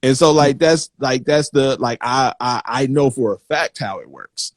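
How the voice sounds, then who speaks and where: A man hosting an interview, chatting informally in a halting, repetitive way. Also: mid-range voice, no room sound, studio quality. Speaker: interview host. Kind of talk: casual conversational chat.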